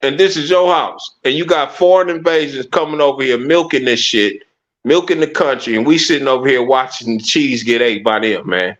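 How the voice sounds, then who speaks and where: A man's voice: mid-range voice, moderate pace, fairly bright tone, dull audio. A man talking in a casual discussion, making his points with rhetorical questions and asking listeners to "watch this.". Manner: emphatic, rhetorical, repeats "watch this". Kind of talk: casual conversation.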